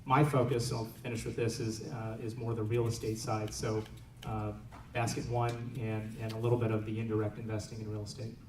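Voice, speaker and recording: A man speaking on a conference panel, talking in a halting way with many fillers and restarts. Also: lowish voice, little pitch movement. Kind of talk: panel discussion. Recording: echoey room, distant mic, noisy.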